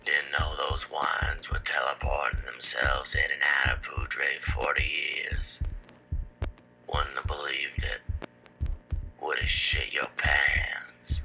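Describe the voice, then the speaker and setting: A man playing a boastful, folksy wine pitchman in a parody radio ad, in a gravelly whisper. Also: little pitch movement, takes it slowly, long pauses, bright, forceful tone, exaggerated voice. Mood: smug reminiscing. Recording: dull lo-fi sound over music.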